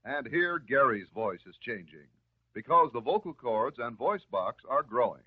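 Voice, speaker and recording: An American man delivering scripted narration in the style of a 1950s educational film, measured, instructive and reassuring. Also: medium pitch, very animated, slightly rough. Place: voice-over recorded for film. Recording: muffled, narrow-band 1950s film soundtrack.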